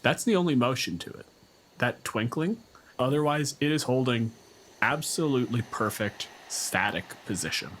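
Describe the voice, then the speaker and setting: A man's voice: medium pitch, animated, moderate pace, fairly bright tone, slight background noise, poor audio. A man acting as game master, casually narrating a tabletop game in a descriptive, unscripted way. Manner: descriptive, casual, swears freely.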